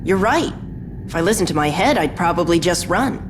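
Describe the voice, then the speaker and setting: A woman's voice: on the low side, lively intonation, fairly quick, background noise, close to an everyday voice. A woman voicing an earnest, defiant young hero in a video game, sincere and determined. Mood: defiant resolve. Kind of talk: scripted video game drama acting. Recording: music under the voice.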